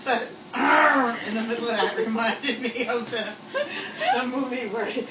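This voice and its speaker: A woman's voice: a little high, normal speed. A woman chatting casually as she comments on a story she is listening to. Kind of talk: casual chat. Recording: distant, echoey, very noisy home recording.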